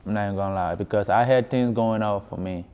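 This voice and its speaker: Deep-voiced Ghanaian man in echoey hall. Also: fast talker, clean tone. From West Africa, grew up in Ghana. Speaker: musician being interviewed. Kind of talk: casual interview storytelling. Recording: echoey hall, dull muffled sound.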